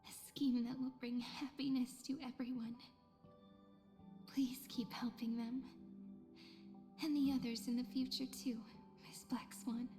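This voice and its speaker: A woman voicing a gentle, wistful young female guide in a game story, speaking tenderly and softly, often close to a whisper. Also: a little high, flat delivery, lightly acted. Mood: gentle hope. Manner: slow, tender, measured storytelling. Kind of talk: scripted game story voice acting. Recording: echoey long reverb, loud music behind.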